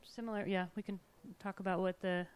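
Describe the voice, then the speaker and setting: Smooth-voiced woman on a panel. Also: medium pitch, flat delivery. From California. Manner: fluent, measured, some fillers. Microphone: handheld mic.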